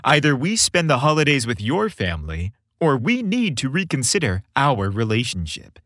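A man's voice: a little high, a bit bright, no room sound. A man hosting a story podcast, reading scripted narration with a playful, theatrical delivery, close on the microphone.

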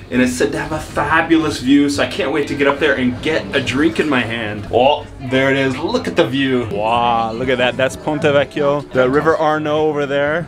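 An enthusiastic, emphatic man in his forties presenting an upbeat travel vlog to a handheld camera outdoors. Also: medium pitch. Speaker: travel vlogger. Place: outdoors, city streets and an open rooftop terrace. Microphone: handheld camera at arm's length. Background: music.

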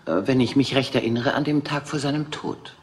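An actress playing a poised, gracious aging film star in a radio play. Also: female voice, rumbling low, a little fast, a little mellow, a little hiss or hum. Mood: guarded. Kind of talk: German radio play acting.

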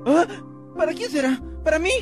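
A woman's voice: high-pitched, normal speed, natural voice. A woman with a Latin American Spanish accent voicing a hapless, bewildered teenage boy in an anime dub, hesitant and puzzled. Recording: loud music over voice.

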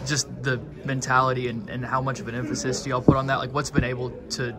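A man's voice: mid-range voice, completely flat delivery, fast talker. A man, a sports reporter asking a football player questions in a crowded postgame scrum. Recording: noisy press scrum with crowd chatter.

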